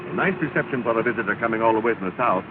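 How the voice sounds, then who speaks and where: A man plays an impatient, well-off husband in an early talkie, his lively voice rising and falling as he frets. He sits back from the microphone, and the thin, phone-like sound carries hiss. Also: lowish voice, rapid-fire, far from the mic, lightly acted. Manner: fretful and clipped. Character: fussy, impatient middle-aged well-to-do husband. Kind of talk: 1930s film acting. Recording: tinny, hissy 1930 film soundtrack, set back from the mic.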